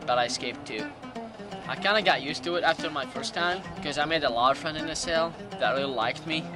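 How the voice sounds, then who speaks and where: A man in his late teens from Iraq with a Middle Eastern accent gives personal testimony in halting, non-native English. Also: a little high. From Iraq, Jordan and Syria. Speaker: documentary interview subject. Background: music.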